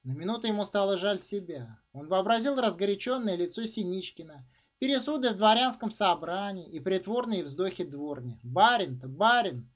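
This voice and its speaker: A man with a high-pitched voice narrates a short story with emphatic, theatrical phrasing. His intonation swings widely from phrase to phrase. The recording sounds dull and muffled. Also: animated, normal speed. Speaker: author reading own short story. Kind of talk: expressive literary narration.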